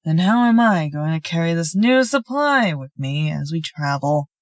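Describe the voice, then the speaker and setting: Woman as low-voiced, blunt, stubborn healer. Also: lively intonation, moderate pace, dry recording, clean studio sound. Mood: skeptical. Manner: pointed, argumentative, formal phrasing. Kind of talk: fantasy audio drama acting.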